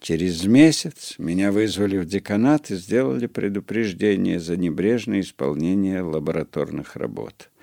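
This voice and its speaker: A man reading his own first-person memoir aloud in a measured, dryly ironic storytelling manner. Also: on the low side, vocal fry, a little mellow, no room sound, clean studio sound. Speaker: narrator.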